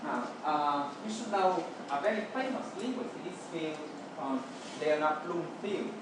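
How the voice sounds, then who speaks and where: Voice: mid-range voice, unhurried. A native Vietnamese speaker with a Vietnamese accent, giving an explanatory classroom lecture and checking understanding with short tag words. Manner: checks understanding with "okay" and "right". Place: roomy indoor space, distant mic, noise behind. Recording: echoey room from far off, noisy.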